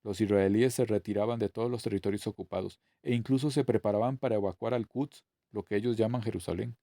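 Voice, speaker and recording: A man's voice: fairly low pitch, steady tone, quick pace, creaky, dark tone, no echo, clean studio sound. A man reading a novel aloud as scripted narration. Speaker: audiobook reader.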